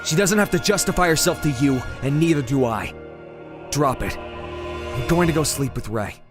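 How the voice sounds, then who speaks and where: A man's voice: on the high side, lively intonation, moderate pace, music in the background, lightly acted. A man playing a loyal, hot-tempered young man in an audio drama, speaking in a casual, slangy way. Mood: defensive. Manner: casual, slangy first-person storytelling. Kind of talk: audio drama narration and dialogue.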